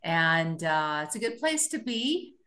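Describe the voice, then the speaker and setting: A woman from California calls into an online meeting. Her voice sits a little low, and she chats casually with plenty of fillers over the call. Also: very animated, slow, a bit bright. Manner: friendly, chatty with fillers. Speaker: guest caller. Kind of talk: casual chat. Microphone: video call.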